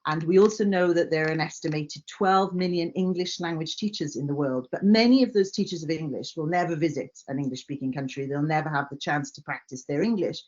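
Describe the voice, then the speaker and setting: A woman's voice: low voice, fairly quick, hardly any pauses, darkish tone, muffled recording. A Welsh woman giving a conference presentation, friendly and earnest, with frequent "uh" fillers. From Wales. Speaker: company director.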